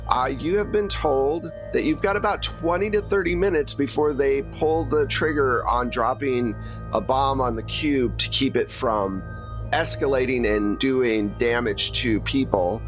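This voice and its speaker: A man's voice: medium pitch, a little slow, faint music behind. A man running a tabletop game as game master, recapping and narrating in an informal, explanatory way.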